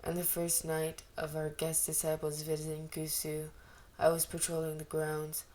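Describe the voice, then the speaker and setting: Woman playing a grand, lower-pitched young gentleman. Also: completely flat delivery, normal speed, natural voice. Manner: formal, grand. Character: grand, dignified young male cultivator telling his story. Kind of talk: storybook character voice.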